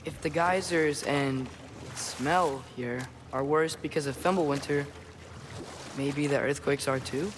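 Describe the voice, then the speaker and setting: Young man as an eager, higher-voiced teenage boy. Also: measured pace, background noise, close to an everyday voice. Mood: curious, eager. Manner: youthful, inquisitive, casual. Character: curious, eager teenage boy, earnest and questioning. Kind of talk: video game voice acting.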